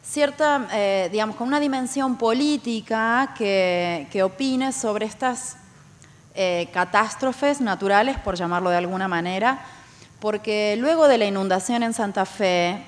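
A woman's voice: medium pitch, a little slow. A woman with an Argentine accent asks an informal academic question from the audience, with hesitant, roundabout phrasing. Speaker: audience member asking a question. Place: large university auditorium. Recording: reverberant auditorium sound.

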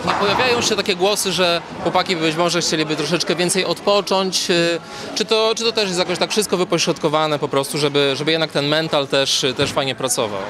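A man's voice: on the high side, fairly even intonation, brisk. Tentative male reporter amid crowd noise. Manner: tentative, searching wording. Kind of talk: interview question. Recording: crowded venue, off-mic.

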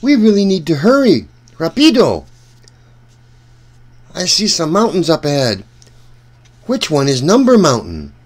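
A man reading a storybook aloud for children in an animated, deliberate way, speaking directly to young listeners. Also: fairly high pitch.

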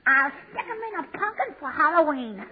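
A woman's voice: sits high, very animated, normal speed, crowd noise. A woman playing a mischievous little girl in a 1940s radio comedy, childish and blunt, always asking why. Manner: childish, cheeky, asks why over and over. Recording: tinny old radio broadcast with live audience.

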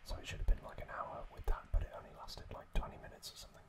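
A man whispering an ASMR story recap in a hushed, unhurried, hesitant way as the host.

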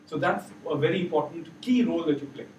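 Middle-aged male trainer, loud and upbeat, unmiked, far camera pickup with faint room noise. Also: on the high side, quick pace, echoey room, muffled recording. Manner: loud, encouraging, asks the room questions. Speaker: workshop trainer. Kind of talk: interactive training session. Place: large meeting room with projector. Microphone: far camera, no mic.

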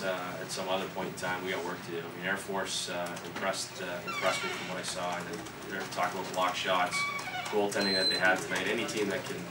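A man in his thirties, a college hockey coach answering questions at a postgame press conference, earnest and often repeating words as he starts his sentences. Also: low voice. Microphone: table mic from the camera's distance. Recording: echoey room, far from the mic, loud noise.

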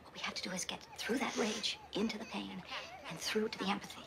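An actress playing a forceful woman therapist in a film drama, insistent and badgering, with short repeated commands. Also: mid-range voice, fairly even intonation, fast, natural voice. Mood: earnest, defensive. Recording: clear background noise.

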